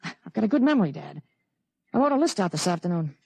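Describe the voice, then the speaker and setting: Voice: medium pitch, big pitch swings, fast, creaky, darkish tone, breathy edge, heavily acted. A performer in a 1950s radio drama playing a confident, urgent teenage boy who talks like a grown soldier. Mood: confident, persuasive. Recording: dry vintage 1950s radio recording.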